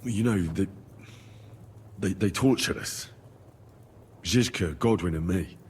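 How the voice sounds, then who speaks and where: A man's voice: low-pitched, takes it slowly, darkish tone, breathy edge, in a large hall, full character voice. A man playing an earnest, plain-spoken young medieval squire in dramatic video game dialogue. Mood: grave, hesitant.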